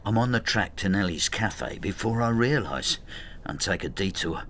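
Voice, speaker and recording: A man's voice: fairly low pitch, animated, moderate pace, a bit bright, a little hiss or hum. An actor playing an embittered, spiteful old husband who narrates his marital war in a brooding, intimate radio drama style. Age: older.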